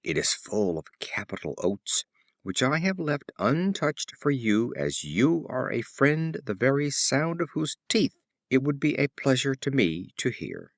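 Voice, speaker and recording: A man reading a storybook voices a sly, taunting wolf who talks smoothly with false friendliness. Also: medium pitch, sing-song, measured pace, no echo, clean studio sound, pitched above the performer's own voice. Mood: falsely friendly.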